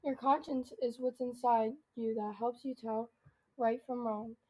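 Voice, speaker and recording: Young girl acting as schoolteacher lady, soft mellow voice, patient and instructive, radio play. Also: a little high, steady tone, a little slow, poor audio. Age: child, about 12 to 13. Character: female schoolteacher, explaining patiently to her class. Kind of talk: comedic radio drama acting.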